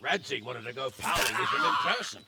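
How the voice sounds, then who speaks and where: A man's voice: mid-range voice, very animated, brisk, creaky, a crowd in the background. An actor playing a boisterous, battle-eager nobleman in a video game drama, with a cocky, theatrical delivery. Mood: confident. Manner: blustery, theatrical.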